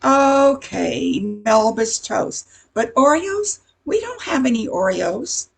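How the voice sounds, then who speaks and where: A woman playing a blunt, impatient diner waitress in an amateur radio comedy, with a husky voice. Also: on the high side, big pitch swings, takes it slowly, exaggerated voice. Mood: matter-of-fact. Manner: blunt, sassy.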